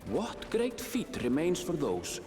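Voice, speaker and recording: A man's voice: fairly high pitch, wildly swooping pitch, slow, a little mellow, exaggerated voice. A male actor playing a solemn storyteller narrating an ancient legend in a poetic style for a video game. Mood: solemn, grand. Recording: echoey long reverb, faint music.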